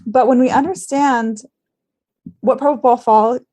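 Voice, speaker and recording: A woman's voice: high-pitched, steady tone, a little slow, warm tone. A woman hosting a medical podcast conversation, speaking earnestly and emphatically, often posing rhetorical questions. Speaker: podcast host and former travel nurse.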